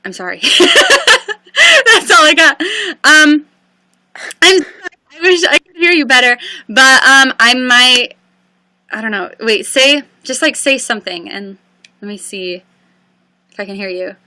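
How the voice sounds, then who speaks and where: A woman's voice: high-pitched, very animated, measured pace, sharp tone, no room sound. A woman hosting a casual livestream, often laughing nervously and apologizing while fixing a call. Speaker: livestream host.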